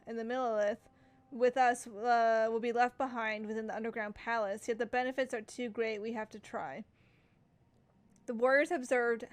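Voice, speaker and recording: A woman streamer reading in-game text aloud as she plays, in a slightly high voice. She reads casually, trips over words and stops to comment. It sounds like a home gaming setup.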